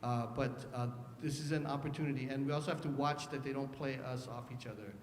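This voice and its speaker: A man speaking as a panelist in an earnest, halting way with fillers, recorded in a conference hall. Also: fairly even intonation. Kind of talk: panel talk. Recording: echoey hall with faint rumble.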